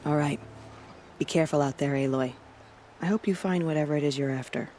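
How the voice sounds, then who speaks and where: An actress playing a proud female warrior who guards a border village, speaking in a solemn, steady and devout way. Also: sits low, brisk, noisy background, lightly acted.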